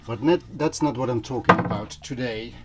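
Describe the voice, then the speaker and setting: A man's voice: medium pitch, moderate pace, heavy background noise. A man talking casually to viewers, explaining things in a conversational way as an audio vlogger. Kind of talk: casual vlog talk to viewers. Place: small room at home. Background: music playing.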